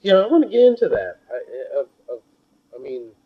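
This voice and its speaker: Husky man, dull lo-fi tape. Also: medium pitch, very animated. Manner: halting, searching for words. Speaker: interviewer. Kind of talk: informal interview questioning. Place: hangar used as an office.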